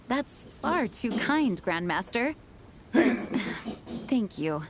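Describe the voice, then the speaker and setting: An actress voicing a courteous, dutiful knight officer in a video game, formal and earnest. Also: female voice, fairly high pitch, very animated, extremely slow, heavy background noise, exaggerated voice. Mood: polite, insistent. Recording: game music faintly behind.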